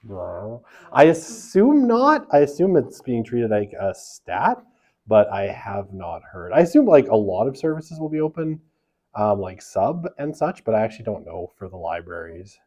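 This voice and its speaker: A man's voice: lowish voice, sing-song, normal speed. A man teaching a university sociology lecture in a classroom through a room microphone, casual and chatty, with a big voice, lots of fillers and tag questions. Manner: self-described big, loud voice. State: half asleep from early rising. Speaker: sociology instructor.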